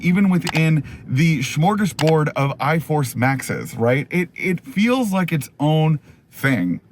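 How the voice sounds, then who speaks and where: A man hosting a casual, chatty car review in an animated, opinionated, enthusiastic way, his voice going a little hoarse. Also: fairly high pitch, a little hiss or hum. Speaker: car review video host.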